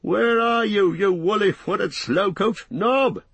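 A fat, harried innkeeper, pitched high and swooping about as he goes. The voice is husky and the talk rambles on in folksy, breathless phrases, as in a storybook reading. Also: expressive, a little slow, fairly bright tone, no echo, exaggerated voice. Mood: impatient, shouting. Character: fat, bustling, harried, talkative middle-aged male innkeeper. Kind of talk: audiobook character voice.

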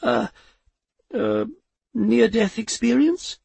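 A man's voice: mid-range voice, wildly swooping pitch, moderate pace, vocal fry, a little mellow, husky, dry recording, voice pushed up, heavily acted. A man voicing Death as a nervous, fussy, apologetic clerk of a figure in an audiobook. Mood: flustered. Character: nervous, lightly built male Death with clipboard.

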